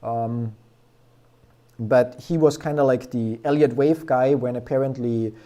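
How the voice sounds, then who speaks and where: Young man, mellow voice, rambling trading-stream chatter, heavy on fillers. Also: medium pitch, fairly even intonation, a little slow. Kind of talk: casual live chart analysis.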